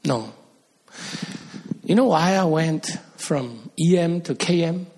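A man's voice: on the high side, animated, slow, a little mellow, slightly rough, low-quality recording. A middle-aged man from Korea, preaching an earnest sermon as a pastor and rising to emphatic peaks.

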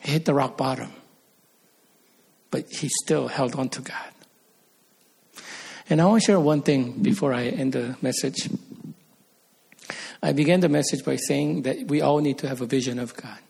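Earnest male pastor, gravelly. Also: medium pitch, moderate pace, long pauses, a little mellow. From Korea. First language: Korean. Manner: earnest, rises to emphatic peaks. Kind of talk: sermon.

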